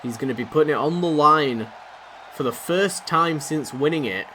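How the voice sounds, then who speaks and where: A man rattles through excitable play-by-play of a wrestling video game, rambling and poking fun at himself. His voice sits a little high and is going hoarse from overuse. The recording carries a clear layer of background noise. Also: moderate pace. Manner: excitable, rambling, self-deprecating. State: losing his voice. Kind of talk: play-by-play commentary. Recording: noisy background.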